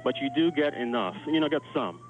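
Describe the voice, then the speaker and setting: A man's voice: medium pitch, lively intonation, a little fast. A man giving an informal live eyewitness account by phone, in loose phrasing full of 'like', as a hotel worker. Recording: dull noisy phone line, sirens behind. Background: alarms and emergency sirens.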